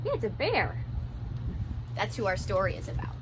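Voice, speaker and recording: A woman reading a children's picture book aloud in an expressive way, drawing out phrases and putting questions to young listeners. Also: medium pitch, normal speed, heavy background noise. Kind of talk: animated children's storybook read-aloud. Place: outdoors in windy surroundings.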